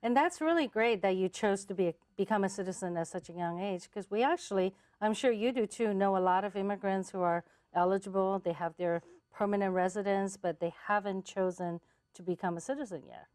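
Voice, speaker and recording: A woman's voice: mid-range voice, brisk, a little mellow. A woman hosting a conversational interview, listening attentively and putting in short acknowledgements between her questions. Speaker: talk show host, interviewer.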